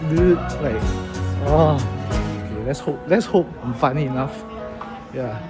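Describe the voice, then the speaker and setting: A man's voice: mid-range voice, animated, a little slow, over music. A young man with a heavy Singaporean accent, chatting casually and self-mockingly in a travel vlog about trying stand-up. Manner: chatty, self-mocking, swears when nervous. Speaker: vlogger, first-time stand-up comic.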